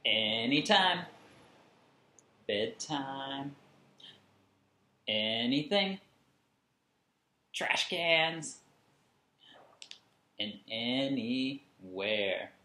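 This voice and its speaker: Male storybook reader, thirties, slow and emphatic, explaining as he goes, roomy home recording. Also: medium pitch, animated, pushed and bright. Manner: deliberate, emphatic, explaining asides. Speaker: children's read-along host. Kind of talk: expressive storybook reading for young children. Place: small indoor room at home. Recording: echoey room, camera at a distance.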